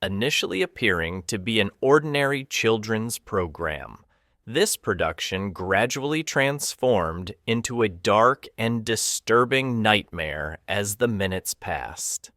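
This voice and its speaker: Slow, low-voiced man narrating ominously. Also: expressive, a bit bright, no echo, clean studio sound. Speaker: documentary narrator. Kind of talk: scripted documentary narration.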